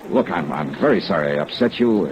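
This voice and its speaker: An actor playing a tough, smooth middle-aged male insurance investigator who narrates his case in a clipped, wry 1950s radio style. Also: sits low, lively intonation, fairly quick, crowd noise. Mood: apologetic. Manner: clipped, wry hardboiled delivery. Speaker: lead actor and narrator of a detective serial. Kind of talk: 1950s radio detective acting. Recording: dull, lo-fi vintage radio recording.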